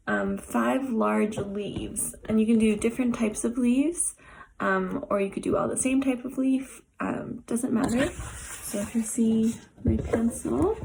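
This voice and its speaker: Raspy-voiced woman with a cough. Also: fairly high pitch, a little hiss or hum, low-quality recording. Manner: relaxed, many ums, gentle instructions. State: hoarse with a cough. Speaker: art therapist. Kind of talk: online art therapy lesson. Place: at home.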